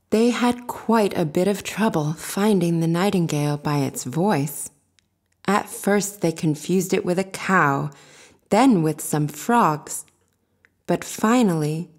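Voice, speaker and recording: Female fairy-tale narrator: slightly low voice, very unhurried scripted reading. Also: a little mellow. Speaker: storyteller.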